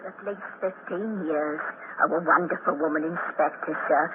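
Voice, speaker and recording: A woman's voice: low voice, normal speed, lightly acted. An actress playing a chatty, gushing middle-aged landlady in a radio drama. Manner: gushing, talkative. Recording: tinny hissy vintage radio broadcast.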